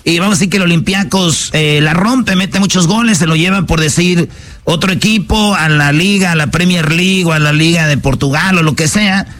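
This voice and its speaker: A man's voice: sits high, fairly quick, fairly bright tone. A Mexican man hosting a sports talk show, joking and teasing in a playful, slangy way.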